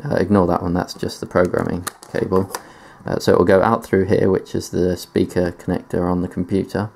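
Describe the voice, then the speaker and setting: A man's voice: deep voice, little pitch movement, a little fast, vocal fry, a little mellow, slight background noise. A man giving a casual tech demonstration in a matter-of-fact, explanatory way, presenting gear on video indoors. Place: indoors at a desk.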